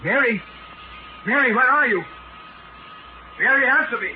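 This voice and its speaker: A man's voice: extremely high pitch, unhurried. An actor playing an agitated, obsessed professor losing his mind, in 1940s radio drama style, urgent and repeating himself. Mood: desperate calling. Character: frantic, obsessed male professor descending into madness. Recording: scratchy tinny 1940s radio recording.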